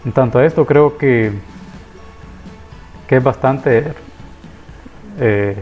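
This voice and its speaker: A man's voice: mid-range voice, takes it slowly, muffled recording, faint music behind. A man from Honduras giving a reflective spoken course presentation, hesitant, with many restarts and fillers.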